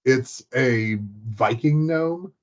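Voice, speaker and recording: A man's voice: mid-range voice, extremely slow. Casual man on slightly dull audio. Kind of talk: casual chat.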